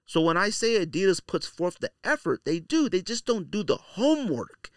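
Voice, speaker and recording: A man's voice: high-pitched, big pitch swings, moderate pace, dry recording. Male podcast host, dry close sound. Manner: conversational, slangy, repeats phrases for emphasis; opinionated, direct address to listeners. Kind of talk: casual solo podcast commentary.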